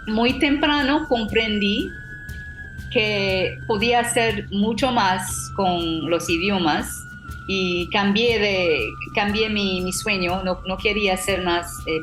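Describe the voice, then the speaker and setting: A middle-aged woman from Trinidad with a Trinidadian accent, a native English speaker telling her story as a professional interpreter, with halting restarts and fillers. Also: medium pitch, over music. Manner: halting, frequent restarts and fillers, occasional light laughs. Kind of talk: personal storytelling talk. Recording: roomy echo.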